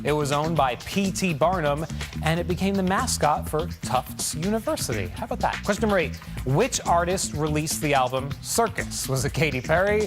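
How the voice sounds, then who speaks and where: A man with a somewhat high-pitched voice hosts a trivia game. His delivery is upbeat and scripted, with the polish of a promotional announcer. Music plays clearly behind him. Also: lively intonation, moderate pace. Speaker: trivia game show host. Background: music bed.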